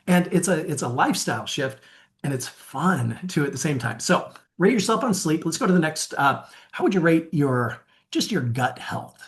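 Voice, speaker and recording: A man's voice: fairly high pitch, expressive, moderate pace, a little hiss or hum. Upbeat male coach leading a webinar. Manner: upbeat, asks viewers to rate themselves. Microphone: webinar mic.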